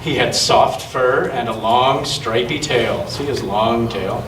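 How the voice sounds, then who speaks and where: A man reading a picture book aloud to children and chatting gently with them in a church. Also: medium pitch, takes it slowly. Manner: gentle question-and-answer talk with children. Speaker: storytime reader at a church children's gathering. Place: large echoey church room with children. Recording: echoey noisy room, distant mic.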